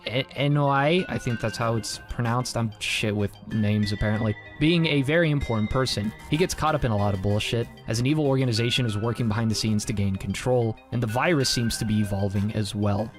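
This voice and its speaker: A man's voice: mid-range voice, brisk, few pauses. A man narrating a scripted review in a conversational way, as a video creator. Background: music.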